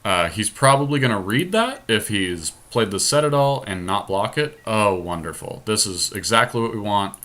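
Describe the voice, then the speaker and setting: Low, bright-voiced man talking through gameplay. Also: lively intonation, moderate pace, hardly any pauses. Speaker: podcast host playing a card game. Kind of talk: casual gameplay commentary, thinking aloud.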